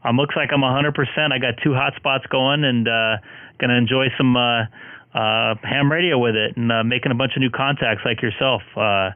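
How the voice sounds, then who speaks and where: A hoarse man from southern California, chatting on the air with another radio operator, rambling and filler-heavy. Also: mid-range voice, fairly even intonation, normal speed, no room sound. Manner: friendly, rambling, many filler sounds. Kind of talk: casual on-air radio chat. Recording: phone-like radio transmission.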